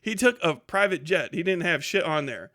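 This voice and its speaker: A man's voice: on the high side, animated, moderate pace, pushed and bright, no room sound, clean studio sound. A man hosting a casual livestream, reading viewer comments and riffing in a profane, sardonic way. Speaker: livestream host.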